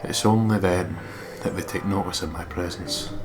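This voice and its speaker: A man with a low voice works as a let's play narrator. He reads game narration in a measured, dramatic manner, with music clearly audible behind him. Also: fairly even intonation. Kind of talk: reading game narration aloud, with casual asides.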